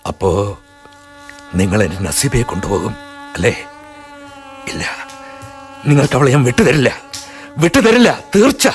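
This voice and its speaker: A desperate pleading husband. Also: male voice, mid-range voice, huge pitch swings, moderate pace, pauses often. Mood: defiant. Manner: emotional, pleading, sometimes outraged. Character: desperate husband, middle-aged schoolmaster. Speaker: husband and narrator. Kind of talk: radio drama acting.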